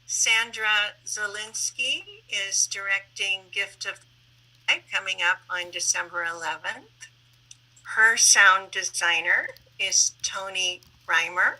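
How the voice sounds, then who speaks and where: Bright-voiced woman hosting, slow pace. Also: mid-range voice, rough recording. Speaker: host and moderator, library staff member. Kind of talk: introducing a panel and asking questions. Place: online video call. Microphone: video call microphone.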